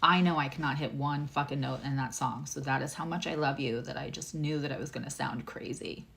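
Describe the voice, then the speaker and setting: A woman tarot reader and astrologer with a low voice, giving a livestream reading, chatty and emotional, addressing viewers by name. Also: fairly even intonation, brisk, gravelly, fairly bright tone, slight background noise.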